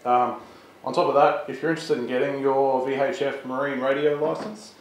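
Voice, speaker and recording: Australian man, thirties, lowish pitch, plain-spoken instructor, echoey space, background noise. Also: fairly even intonation, brisk. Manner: plain-spoken, step-by-step explaining. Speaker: volunteer coast guard instructor presenting a video. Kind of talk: informal instructional presentation. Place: boat on water, open garage, radio room. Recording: roomy echo, clear ambient noise.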